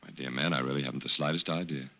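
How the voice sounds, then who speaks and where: A man's voice: a bass voice, fairly even intonation, rapid-fire. A man playing an urbane, unruffled confidence man posing as an army major, in 1940s radio drama style. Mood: smooth, feigned innocence. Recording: dull, band-limited old radio recording.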